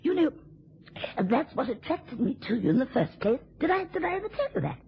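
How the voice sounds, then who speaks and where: An actress in a radio drama playing a drunken, taunting, spiteful wife with a theatrical, mocking drawl. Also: female voice, high voice, very animated, brisk, creaky, exaggerated voice. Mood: bitter, taunting. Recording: dull, lo-fi old radio recording.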